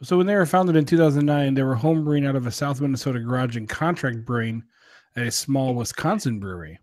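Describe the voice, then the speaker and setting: A man's voice: mid-range voice, fast, a little mellow, no room sound, studio quality. A young man co-hosting a podcast, chatting casually and jokingly as he reviews drinks, with a self-deprecating streak. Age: young adult. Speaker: podcast co-host. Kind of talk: casual beer review chat.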